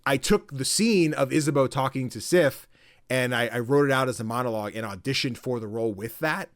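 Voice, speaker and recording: Excitable swearing young podcast guy. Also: mid-range voice, fairly quick, no room sound, studio quality. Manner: excited, swears a lot, acts out game dialogue in raised voices. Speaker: podcast co-host. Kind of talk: casual podcast chat.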